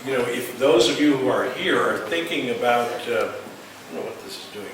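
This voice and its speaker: A wry, fluent man with a self-deprecating touch addresses a conference audience as a journal editor. His ordinary-pitched voice is picked up from a distance, with a strong echo in the hall and steady room noise underneath. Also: normal speed. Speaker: journal editor, former professor. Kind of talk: conference panel remarks. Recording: echoey hall, distant mic, noisy.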